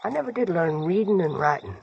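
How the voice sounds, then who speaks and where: An actor playing an earnest, unschooled young prospector in a radio western, with an American accent and a husky voice. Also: male voice, sits high, animated, brisk, a little hiss or hum. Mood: earnest, curious. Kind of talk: 1950s radio western acting. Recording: dull vintage radio recording.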